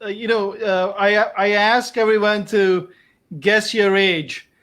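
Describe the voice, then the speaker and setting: High-pitched man hosting a casual show. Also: fairly even intonation, slow pace, fairly bright tone. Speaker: host, doctor interviewing his patient. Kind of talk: casual online show chat.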